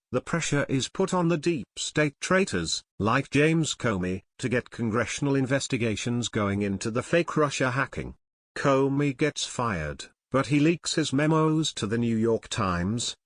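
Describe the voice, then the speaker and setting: A man's voice: mid-range voice, fairly bright tone, dry recording, clean studio sound. A man reading scripted political commentary as a news narrator, with a dramatic, insinuating delivery.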